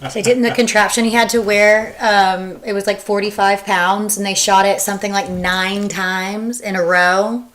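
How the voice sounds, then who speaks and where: A woman's voice: medium pitch, flat delivery, normal speed, few pauses, fairly bright tone. A woman on a podcast panel, chatting and bantering casually with her co-hosts. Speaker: podcast co-host.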